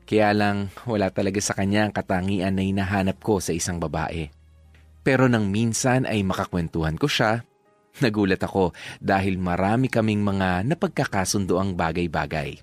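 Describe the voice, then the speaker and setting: A man's voice: sits low, dry recording. An actor playing a fond, reflective young man who narrates his romance in a confiding first-person radio drama style.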